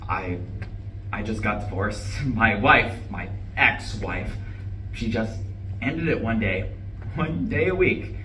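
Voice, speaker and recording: A man's voice: lowish voice, lively intonation, takes it slowly, long pauses, sharp tone, heavily acted. An actor playing a distraught, irritable, newly divorced man in a live stage play reading. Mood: dejected, faltering. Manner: faltering, sighing, swinging into bitter outbursts. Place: indoor room at a live reading. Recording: echoey room, distant mic, faint noise.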